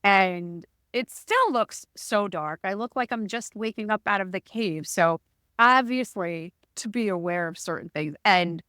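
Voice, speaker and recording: A woman with a loud, booming voice talks in an energetic, jokey way. She co-hosts a casual podcast chat. Her voice sits at a middle pitch and sounds dry and close. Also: a little slow, clear, resonant tone, no room sound. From Arizona, USA. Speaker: podcast co-host.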